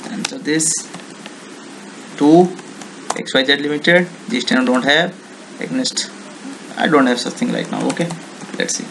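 Male software demonstrator, Indian accent, creaky voice, halting unscripted tutorial delivery, slightly noisy screencast audio. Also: mid-range voice. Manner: halting, non-native English phrasing with repeated check words.

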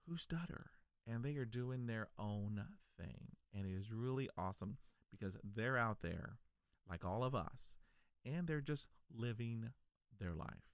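A man who stutters, repeating first sounds, speaks earnestly to his viewers from a small home studio. His voice sits on the low side and comes through a close podcast microphone with a very dry, dead sound. He stays encouraging throughout. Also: creaky, fairly bright tone, clean tone, dry recording. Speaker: vlogger, podcast host. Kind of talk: personal talk to camera. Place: home studio room, indoors. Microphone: large podcast mic on a boom arm.

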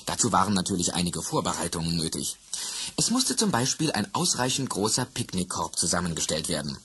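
A man narrating a children's radio play in a measured storytelling manner. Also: low voice, very animated, fairly bright tone, husky, exaggerated voice.

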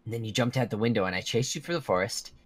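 A man's voice: lowish voice, rapid-fire, crowd noise, close to an everyday voice. A young man playing a protective, earnest teenage werewolf friend in a Minecraft roleplay drama, speaking in broken, dramatic phrases. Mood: tense recounting. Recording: music behind the voice.

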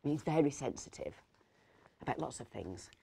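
A woman's voice: extremely low pitch, very animated, fairly quick, creaky, dark tone, breathy edge, exaggerated voice. Actress as fussy kindly woman, middle-aged, hesitant, digressive, dramatic storytelling monologue. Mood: concerned, subdued. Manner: hesitant, digressive, self-correcting. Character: rambling, fussy, kindly woman host of Christmas dinner. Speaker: actor reading a story. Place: indoors, seated in an armchair.

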